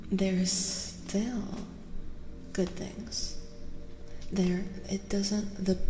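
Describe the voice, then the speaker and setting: A woman speaking softly and soothingly as an ASMR streamer, breathy and often dropping to a whisper. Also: mid-range voice, roomy sound, big-room sound, noisy background. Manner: gentle, slow, soothing. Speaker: streamer hosting ASMR tarot readings. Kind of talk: soft-spoken ASMR live stream chat.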